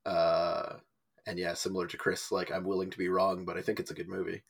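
A man's voice: low voice, little pitch movement, a little fast, gravelly, husky. A man co-hosting a podcast, chatting casually about movies and directors. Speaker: podcast co-host.

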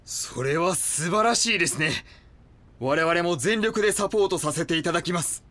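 An actor playing a cult leader's coldly polite, fanatical right-hand man in an audio drama, measured and formal. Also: a little high, bright, forceful tone.

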